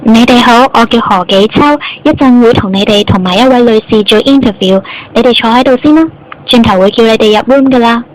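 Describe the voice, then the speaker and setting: A woman's voice: fairly high pitch, fairly quick, close to an everyday voice, poor audio. A woman playing a formal, polite office interviewer in an amateur radio drama, with a Hong Kong Cantonese accent. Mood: brisk, polite. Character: formal female office interviewer, later a hostage.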